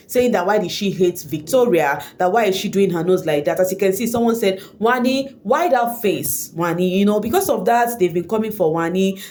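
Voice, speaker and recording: A woman with a Nigerian accent and Igbo as her first language, giving casual gossip commentary straight to her viewers and slipping in Pidgin slang. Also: mid-range voice. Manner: animated, addresses viewers directly, mixes in Pidgin slang. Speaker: online reality show commentator.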